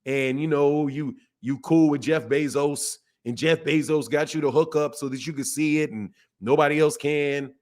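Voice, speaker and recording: Hoarse man hosting a boxing livestream. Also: on the high side, fairly even intonation, moderate pace, hardly any pauses, a little mellow. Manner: emphatic, repeats himself, calls listeners bro and man. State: hoarse, voice shot from yelling. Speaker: boxing channel host. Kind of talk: casual livestream commentary reading chat.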